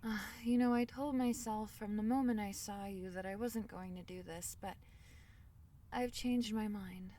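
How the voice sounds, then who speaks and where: A woman voicing a tiny, caring fairy in a soothing ASMR fantasy roleplay, speaking softly in slightly formal storybook phrases. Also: mid-range voice, fairly even intonation, a little slow, distant mic, a little hiss or hum, natural voice. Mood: tender, reassuring. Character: tiny gentle female fairy, caring and protective.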